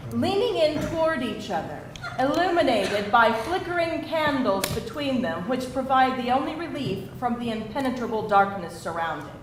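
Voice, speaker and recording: A woman reading scripted, descriptive scene narration at a live screenplay table read. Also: sits high. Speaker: stage-direction narrator. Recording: very echoey room, faint noise.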